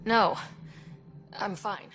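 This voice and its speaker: A woman's voice: extremely slow, a bit bright, a little hiss or hum. An actress playing a bold, sardonic young woman explorer in a video game, speaking in a direct, dry, challenging way. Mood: businesslike. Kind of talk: video game cutscene acting. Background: music.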